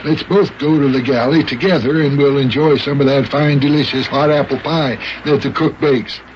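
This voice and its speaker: An actor playing an excitable, food-loving mayor in a children's radio play, blustering and drawing out his exclamations. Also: mid-range voice, close to an everyday voice. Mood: eager, hungry. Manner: blustery, stammering, drawn-out exclamations. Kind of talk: old-time children's radio acting. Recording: muffled, noisy old radio recording.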